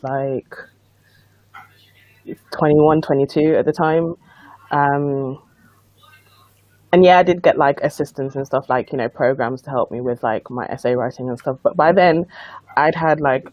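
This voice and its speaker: A woman's voice: very deep voice, darkish tone, no room sound, low-quality recording. A woman in her twenties from Leicester, talking hesitantly with many fillers on a video call. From Leicester, England. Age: 20s. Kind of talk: casual panel talk. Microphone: computer mic on a video call.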